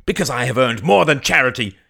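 A man's voice: fairly high pitch, moderate pace, creaky, breathy edge, voice pushed up. A man voicing a giddy, overwrought young woman in an audiobook, theatrical, breathless and stammering, with a proud, tearful edge and brittle laughter. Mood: fervent, proud. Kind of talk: audiobook character acting.

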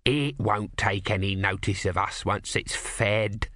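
A man's voice: lowish voice, slow, a bit bright, dry recording, studio quality. A man voicing a bossy, cynical small creature who is sardonic, evasive and pragmatic in an audiobook story. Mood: callous, persuasive.

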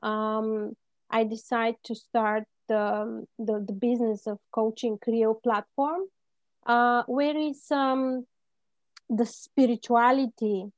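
A woman with a Bulgarian accent answering interview questions haltingly, in non-native English phrasing. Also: on the high side, steady tone. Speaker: interview guest, life coach. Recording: muffled, echoey room sound.

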